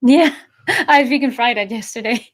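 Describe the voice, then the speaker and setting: A woman's voice: a little high, moderate pace. A woman chatting casually as a co-host, speaking in a friendly and reassuring way. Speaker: co-host, vegan activist. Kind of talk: casual chat. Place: online voice chat.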